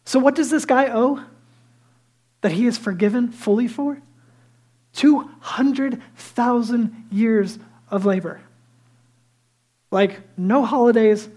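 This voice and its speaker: A man with a high, light voice preaching a sermon as a pastor, telling stories lively and dropping into hushed asides. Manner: lively storytelling that drops to hushed, near-whispered asides; self-deprecating and conversational.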